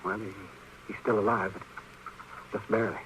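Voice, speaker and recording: An American man acting a crusty, excitable older town doctor, fussy and halting, in a 1950s Western radio drama with a hissy old recording. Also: on the low side, lightly acted, rough recording. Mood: grave, working.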